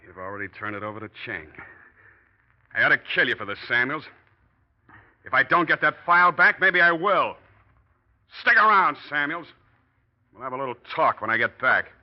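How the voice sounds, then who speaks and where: A man's voice: medium pitch, expressive, normal speed, pauses often, close to an everyday voice. A man playing a scheming, hard-boiled American office man in 1940s radio acting style. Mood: threatening. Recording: muffled, noisy old radio recording.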